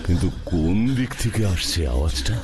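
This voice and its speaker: Man voicing a deep-voiced, commanding cartoon hero detective. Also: brisk, a loud crowd, close to an everyday voice. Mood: alert, investigating. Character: sturdy male superhero detective, calm, stern and fearless. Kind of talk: dramatized cartoon dialogue.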